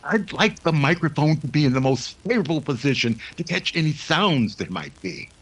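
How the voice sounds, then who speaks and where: A man's voice: fairly high pitch, very animated, fairly quick, fairly bright tone, husky, heavily acted. A man playing an earnest, commanding psychic investigator who speaks formally and intensely, in a 1930s-style radio drama. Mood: intent.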